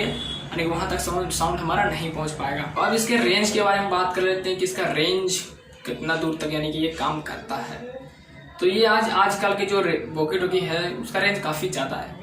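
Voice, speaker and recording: A man with a high-pitched voice presents an informal explainer. He often doubles back to repeat and rephrase his points. The recording is echoey, with faint noise behind him. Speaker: tech channel presenter. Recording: echoey room with background noise.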